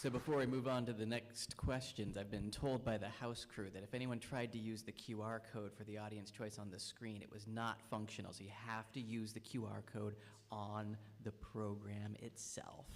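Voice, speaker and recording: Male Q&A moderator, relaxed, filler-heavy delivery, long hall reverb. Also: on the low side, normal speed. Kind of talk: hosting a live festival Q&A. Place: theater stage at a film festival.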